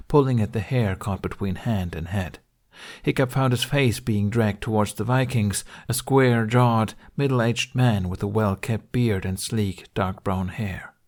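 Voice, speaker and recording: A man reading fiction as an audiobook narrator, in a dramatic scripted storytelling style. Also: fairly low pitch.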